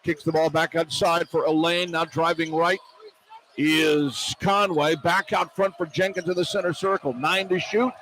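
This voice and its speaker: An American man doing excitable, partisan live radio play-by-play of a basketball game as the announcer. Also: on the high side, normal speed. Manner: excitable, partisan toward the home team. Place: courtside in a basketball arena. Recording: noisy live arena radio broadcast. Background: crowd and arena noise.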